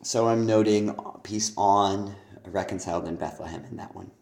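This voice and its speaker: A man with a cold and a hoarse voice gives a student spoken feedback on song lyrics, hesitant and full of ums. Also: on the low side, darkish tone, roomy sound. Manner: hesitant, many ums, speaks lyrics aloud slowly. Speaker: songwriting teacher.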